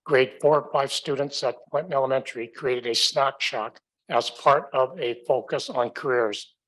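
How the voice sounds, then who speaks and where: A man reading a scripted roundup of school district news in a formal, measured way. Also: mid-range voice, a bit bright, rough recording.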